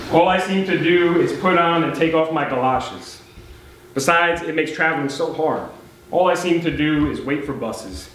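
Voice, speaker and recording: A man's voice: a little high, fairly bright tone, a little hiss or hum. A man reading an old letter aloud to a live audience at a poetry night, breaking off now and then for casual, chatty asides. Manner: reads with offhand chatty asides. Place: live poetry event in a beach house venue. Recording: very echoey room with faint ambience.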